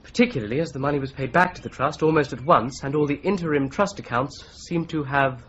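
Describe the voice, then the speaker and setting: An actor playing a polite, methodical young law clerk who presses his points in a calm, persistent way, in a radio drama. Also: mid-range voice, quick pace, slight background noise, natural voice. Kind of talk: 1970s radio drama acting. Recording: slightly dull broadcast recording.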